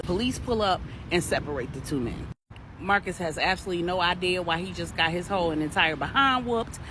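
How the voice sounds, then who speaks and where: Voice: mid-range voice, background noise. A storyteller narrating a dramatic tale for adults in a vivid, colloquial way.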